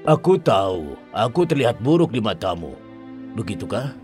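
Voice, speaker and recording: A man playing a gentle, lovelorn beast in a cartoon fairy tale, polite, earnest and measured. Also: on the low side, big pitch swings, soft background music. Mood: humble, pleading. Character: gentle, courteous, lovelorn male beast in a castle.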